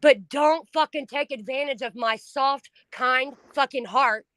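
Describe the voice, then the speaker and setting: A middle-aged woman talking on a home stream, loud and blunt, swearing freely, her voice climbing when she gets upset. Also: sits high, unhurried. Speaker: stream co-host. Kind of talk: emotional casual chat.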